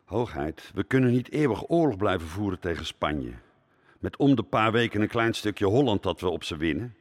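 A man's voice: fairly low pitch, lively intonation, moderate pace. Old statesman in a reverberant hall. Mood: worried, admonishing. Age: older. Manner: deferential yet admonishing. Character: old statesman, anxious, insistent adviser to a prince. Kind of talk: Dutch radio drama acting.

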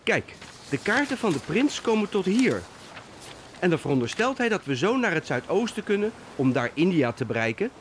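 A man's voice: high-pitched, big pitch swings, moderate pace, a little hiss or hum. An actor playing an uneasy, embittered seafaring man in a historical radio play. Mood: anxious, bitter. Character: uneasy, embittered seafarer wary of a deadly gamble.

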